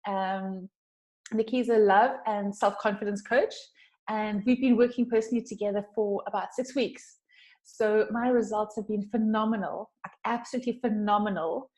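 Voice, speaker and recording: A woman's voice: medium pitch, fairly even intonation, normal speed, a little mellow. A woman with a South African accent hosting a casual, chatty interview in an effusive, emphatic way. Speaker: interview host, branding coach.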